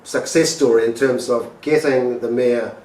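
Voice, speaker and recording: Older man, unhurried, in a roomy living room. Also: medium pitch, fairly even intonation, a little mellow. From Fiji. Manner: unhurried, hesitant with fillers. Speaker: Rotary campaign organizer. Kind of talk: casual interview answers. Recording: roomy echo, distant mic, faint noise.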